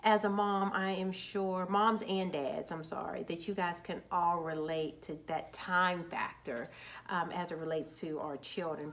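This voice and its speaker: Fortysomething woman, kinda low voice, upbeat chatty vlog talk, stumbles on words a bit. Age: 40s. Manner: chatty, upbeat, restarts words when nervous. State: a little nervous. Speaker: children's book author. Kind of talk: casual talk to camera.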